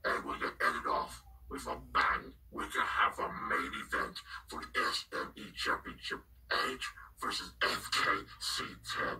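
A woman voicing an excitable, foul-mouthed show host who whispers breathily and yells. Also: slow pace, few pauses. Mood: announcing. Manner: whispery and breathy, then yelling.

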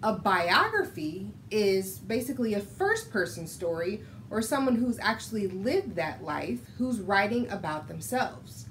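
An American woman in her thirties hosting a children's storytime, speaking in an instructive, expressive read-aloud style. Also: mid-range voice, measured pace, few pauses, a little hiss or hum. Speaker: children's storytime host and reader. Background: music.

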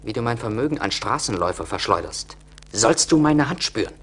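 An actor playing a cruel, hard-hearted rich husband in a 1980s radio play, harsh and imperious. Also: male voice, on the low side, expressive, a little fast, breathy edge. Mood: furious.

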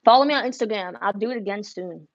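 A woman's voice: mid-range voice, fast, lo-fi sound. A young woman whose first language is Spanish, chatting casually on a livestream from her embroidery studio, switching between English and Spanish. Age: young adult. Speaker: embroidery business vlogger. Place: embroidery studio room, indoors. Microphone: wireless earbuds.

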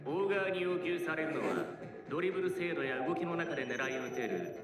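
A man's voice: a little high, animated, distant mic, natural voice. Man acting cold, ruthless coach, echoing over music. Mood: cold, contemptuous. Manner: contemptuous, deliberate, lecturing. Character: cold, ruthless male soccer program coach. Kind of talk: dubbed anime drama acting. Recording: roomy anime playback with loud music.

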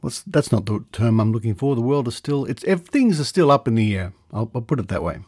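A man's voice: medium pitch, lively intonation, fairly quick, darkish tone, slightly rough. A man hosting a podcast in a semi-scripted monologue, recorded on a close studio microphone in a treated room. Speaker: podcast host. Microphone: close studio condenser microphone.

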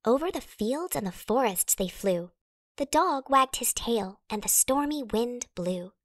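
Animated high-voiced woman reading a storybook. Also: measured pace, dry recording, clean studio sound. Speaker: storybook narrator. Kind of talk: expressive children's read-aloud in rhyme.

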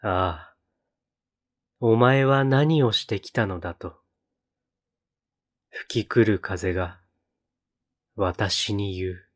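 Low-pitched man reciting poetry very slowly. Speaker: poetry reader on a read-aloud channel.

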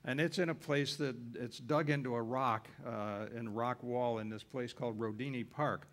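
A man's voice: medium pitch, normal speed. A man giving an informal travel lecture on a cruise ship, folksy and rambling, with frequent uhs and self-corrections.

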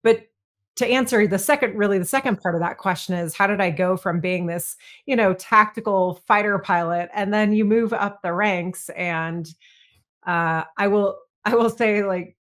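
A woman's voice: medium pitch, moderate pace. A middle-aged woman answering interview questions over a video call from a room with bookshelves, earnest and reflective. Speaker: guest, retired fighter pilot.

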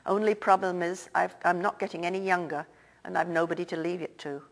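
A woman's voice: lowish voice, steady tone, a little fast, natural voice. Old rich theatre guy, confiding and a bit sad, leans in to tell you stuff, woman reading him out. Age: older. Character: older Irish-born male theatre owner, wealthy, earnest. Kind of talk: audiobook character reading.

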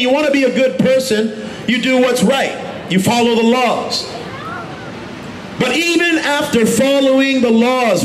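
A man preaching out on a busy city street at night, his voice pitched very high for a man and often rising to a shout. The handheld microphone and street speaker bounce around the stone fronts and add echo. Faint city ambience sits underneath. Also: slight background noise. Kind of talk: open-air street preaching. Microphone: handheld microphone through a street speaker.

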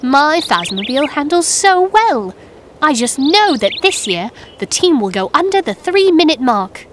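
A determined young female cartoon crow, talks high and emphatically. Her pitch moves about a lot and she sounds sure of herself. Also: very animated, unhurried, fairly bright tone, slight background noise, heavily acted. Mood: confident. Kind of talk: children's cartoon acting.

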